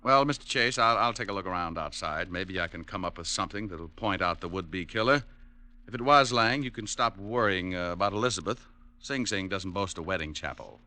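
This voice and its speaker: An actor playing a hardboiled private detective in a 1950s radio drama, speaking in a dry, wisecracking way. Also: male voice, low-pitched, lively intonation, a little fast, sharp tone, full character voice. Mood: wry.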